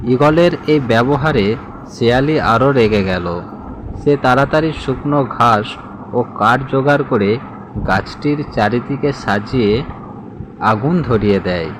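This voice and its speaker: A man reads a scripted fable at an unhurried, slow pace. His voice sits at a middling pitch, and clear ambient noise runs behind the narration. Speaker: storyteller, narrator.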